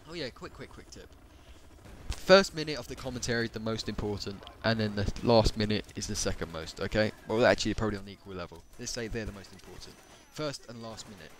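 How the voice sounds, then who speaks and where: A man chatting casually about gaming commentary, rambling and correcting himself as he goes. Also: fairly quick. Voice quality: says he has a high voice.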